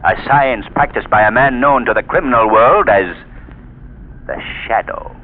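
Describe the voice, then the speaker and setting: An actor playing a mysterious, commanding male avenger in a 1930s radio drama, speaking in a stern, deliberate manner. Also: mid-range voice, a little hiss or hum, close to an everyday voice. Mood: ominous. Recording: tinny old 1930s radio recording with music.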